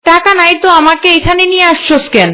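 A woman's voice: extremely high pitch, quick pace, bright, forceful tone. A woman voicing a demanding, hot-tempered young village wife in a cartoon, scolding. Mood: angry, threatening. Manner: scolding, commanding. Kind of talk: cartoon voice acting.

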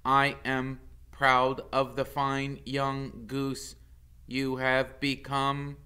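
A man voicing a gentle, protective mother robot in a storybook, speaking in a measured, formal way. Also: medium pitch, completely flat delivery, smooth, pitched above the performer's own voice. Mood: tender. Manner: measured, formal, uncontracted speech.

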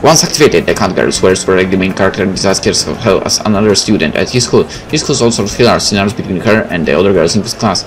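Low-voiced man doing a game playthrough. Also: lively intonation, fast, few pauses, low-quality recording. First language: Polish. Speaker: gaming video host. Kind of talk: game let's play, reading text aloud with casual commentary. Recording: faint game music behind.

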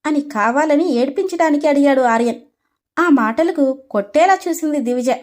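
A woman's voice: high voice, normal speed, a little mellow. A woman storyteller reading scripted narration for a story.

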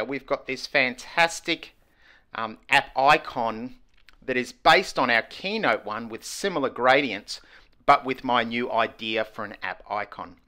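A man walks through a tutorial step by step. His voice is bright and his pitch moves a lot. It sounds like a small indoor room with a close earphone mic. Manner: upbeat step-by-step explaining. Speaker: educational technology presenter. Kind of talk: screen-recorded tutorial walkthrough. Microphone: wired earphone headset mic.

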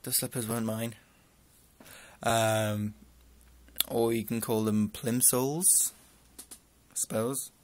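A man's voice: medium pitch, flat delivery, takes it slowly, long pauses, slight background noise. Chatty young man, northern English accent. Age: young adult. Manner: chatty and rambling, with dry asides. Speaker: vlogger. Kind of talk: casual answering of a question list.

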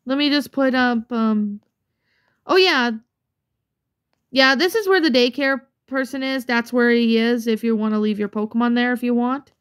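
A woman's voice: fairly high pitch, normal speed, clean tone. Chatty woman gamer, a little sniffly. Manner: chatty, rambling, many fillers. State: slightly congested, sniffly. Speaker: let's play gamer. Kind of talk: casual gameplay commentary.

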